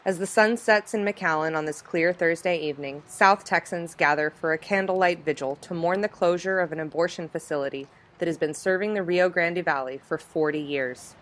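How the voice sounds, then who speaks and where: A woman with a notably low voice narrates a news documentary from a script. Her delivery is measured and even, the steady tone of a reporter's voice-over.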